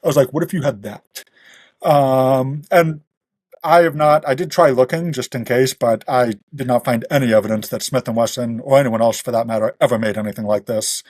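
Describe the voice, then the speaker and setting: A man's voice: mid-range voice, fairly even intonation, fairly quick, a little mellow, no echo. A man talking as a guest author, explaining details step by step in an informal podcast chat.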